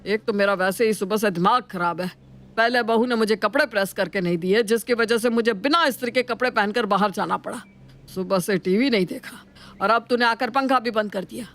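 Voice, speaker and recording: A man's voice: sits high, a little fast, a bit bright. A grumbling older Indian father-in-law from a cartoon family tale. He is thrifty and easily exasperated, and scolds in exclamations. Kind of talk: Hindi animated moral story acting.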